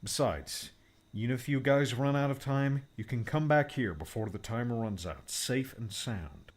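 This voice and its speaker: A man's voice: medium pitch, lively intonation, normal speed, voice pushed up, lightly acted. A man voicing an upbeat, reassuring male tech mentor who explains gadgets in a cheerful manner. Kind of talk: audiobook character voice.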